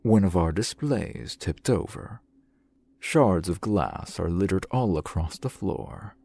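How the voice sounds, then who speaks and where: A man playing a suave, shrewd fantasy shopkeeper in an audio roleplay, speaking in measured, formal, wry phrases with a gravelly, husky voice, close to the mic. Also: sits low, lively intonation, mellow, heavily acted. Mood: calm, authoritative. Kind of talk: fantasy ASMR roleplay acting. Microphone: close microphone, dry sound.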